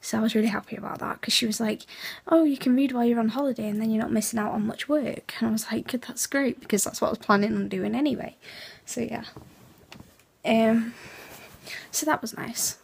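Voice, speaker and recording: Teen girl, hoarse and gravelly, hushed late-night chat, fairly high voice, laptop webcam. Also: a little fast. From England. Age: teens. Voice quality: raspy. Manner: hushed, half-whispered, chatty. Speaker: vlogger. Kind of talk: casual late-night vlog. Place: bedroom at night, indoors.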